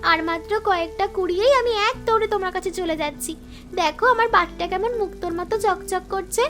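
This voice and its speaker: A woman voicing an excitable, stubborn little village girl in an animated family drama. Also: very high-pitched, fast. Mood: excited, stubborn.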